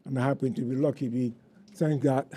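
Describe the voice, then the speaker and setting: A man's voice: mid-range voice, fairly even intonation, quick pace, warm tone, slightly rough. An older man from Cuba with a Cuban Spanish accent, a former ballplayer earnestly recounting memories on a panel. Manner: earnest, proud, with restarts. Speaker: panel guest, former baseball player.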